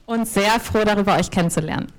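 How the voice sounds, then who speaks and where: A woman's voice: mid-range voice, quick pace. A woman interpreting a talk consecutively, hesitating often with fillers and restarts. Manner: hesitant, many fillers and restarts while translating.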